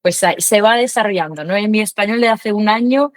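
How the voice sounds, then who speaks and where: A young adult woman speaks a language she learned later in life, chatting loosely as an interview guest. She pauses often with filler sounds. Her voice sits mid-range, recorded close and dry. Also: mid-range voice, no room sound. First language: not Spanish. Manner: chatty, hesitant, many fillers. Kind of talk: casual conversational interview.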